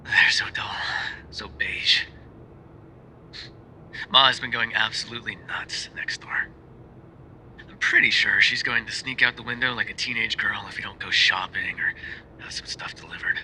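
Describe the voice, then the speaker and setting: Man acting a bright, breathy lovesick mafia boyfriend. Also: on the low side, fairly even intonation, normal speed, long pauses, low-quality recording. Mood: bored, wry. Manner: soft-spoken, hesitant, often dropping to a whisper. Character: lovesick, bookish mafia boyfriend, tender and sheepish. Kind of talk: ASMR roleplay voice acting, intimate phone voicemails.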